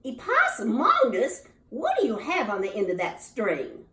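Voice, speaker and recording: An exasperated storybook mother opossum, acted out by a woman, scolds in a high, swooping voice. She is loud and dramatic, drawing out her words for emphasis. The sound is roomy and distant from the mic. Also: huge pitch swings, exaggerated voice. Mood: exasperated, scolding. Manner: exclaiming, drawn-out dramatic scolding with emphatic repetition.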